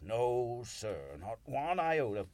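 A man's voice: mid-range voice, animated, slow, darkish tone, no room sound. A man playing a folksy, self-effacing older churchgoer in a radio play, hesitant and rambling as he hunts for words. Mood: rambling, earnest.

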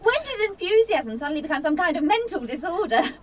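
Woman playing a high-voiced, scatty young student. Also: very animated, fast talker. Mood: chatty, frazzled. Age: young adult. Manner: breezy, rambling, self-interrupting. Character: scatty, overworked young female student, chatty and blunt. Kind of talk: naturalistic radio play acting.

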